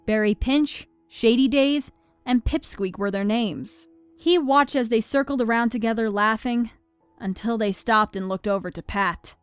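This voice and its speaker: Female narrator, fairly high voice, scripted storybook read, dry recording with faint music. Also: smooth, dry recording.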